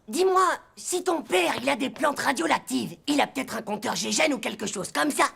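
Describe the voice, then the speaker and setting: A woman voicing an excitable, naive and mischievous young boy in a cartoon, with a creaky voice and a dramatic, cocksure delivery. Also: high-pitched, moderate pace, heavily acted. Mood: scheming. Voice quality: creaky, gravelly. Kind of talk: French animated cartoon acting. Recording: music bed under dialogue.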